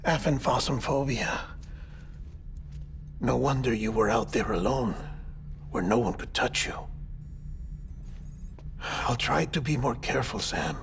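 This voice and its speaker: An actor playing an eccentric, chatty middle-aged coroner in a video game cutscene, with theatrical, halting phrasing. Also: male voice, medium pitch, creaky, a little hiss or hum. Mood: earnest, persuasive. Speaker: doctor and former coroner in a game story.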